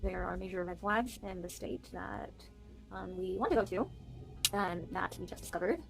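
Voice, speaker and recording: A woman's voice: mid-range voice, moderate pace, gravelly, poor audio. A woman chatting casually and enthusiastically in a vlog, as a homeschooling mother talking to viewers and her children. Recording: music behind the voice. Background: music.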